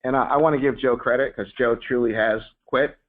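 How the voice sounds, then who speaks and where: A man's voice: mid-range voice, fairly even intonation, a little fast. Man talking on a tinny conference line. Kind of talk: informal spoken remarks. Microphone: conference call phone line. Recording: narrow phone conference line.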